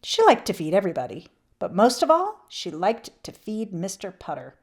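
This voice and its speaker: A woman reading a children's picture book aloud expressively, with emphatic swells and hushed drops. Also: mid-range voice, wildly swooping pitch, moderate pace. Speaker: children's read-aloud channel host.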